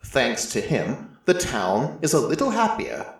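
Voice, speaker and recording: A man voicing an ancient, solemn god of prophecy in a dramatic first-person story, with deliberate pauses. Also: medium pitch, wildly swooping pitch. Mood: quietly fond. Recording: heavily echoing, distant-sounding voice.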